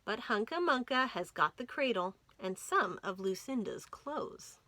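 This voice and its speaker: A woman hosting a children's storytime, reading stories and nursery rhymes aloud in an expressive, clearly enunciated way for young listeners. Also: on the high side, measured pace. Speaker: children's storytime host at a library.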